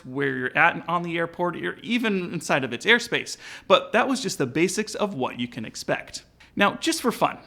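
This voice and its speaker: A man's voice: on the high side, vocal fry, bright, forceful tone. A man presenting an instructional narration as a pilot, explaining in an informal way.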